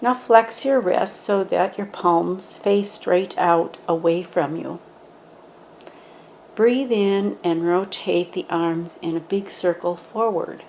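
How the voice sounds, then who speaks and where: Slow, calm woman guiding yoga. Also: lowish voice, a little hiss or hum, low-quality recording. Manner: calm, gentle, instructive. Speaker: meditation and yoga guide. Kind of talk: guided yoga meditation.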